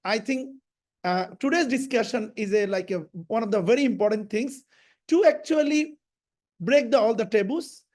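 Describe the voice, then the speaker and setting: Male academic, hesitant and halting, panel guest. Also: very high voice, darkish tone. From Bangladesh. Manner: halting, with frequent restarts and fillers. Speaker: professor and health researcher. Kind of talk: panel discussion.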